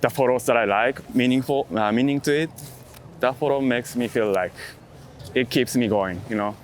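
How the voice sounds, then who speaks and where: Halting man, reflective and casual. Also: mid-range voice, slight background noise. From outside the US. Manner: halting, hesitant phrasing in short fragments; non-native English phrasing. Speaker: street photographer being interviewed.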